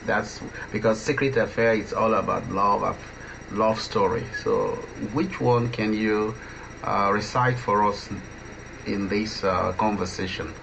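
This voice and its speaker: A man asking interview questions conversationally, with halting, hesitant phrasing. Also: lowish voice, fairly even intonation, vocal fry. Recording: dull, distant mic with faint noise.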